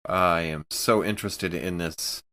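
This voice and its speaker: A man's voice: deep voice, fairly even intonation, unhurried, sharp tone. A man talking casually as a player in a tabletop role-playing game.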